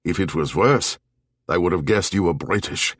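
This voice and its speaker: A man's voice: low-pitched, normal speed, husky, no room sound, studio quality. A man voicing a mild, curious middle-aged German bartender with a German accent, in brief audiobook dialogue. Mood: wry, friendly.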